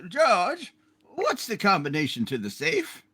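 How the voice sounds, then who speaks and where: A man puts on a lovable, forgetful older uncle for a radio play. The delivery is high, muddled and very lively in pitch. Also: unhurried, husky, heavily acted. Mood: flustered. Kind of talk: hammed-up amateur radio play reading.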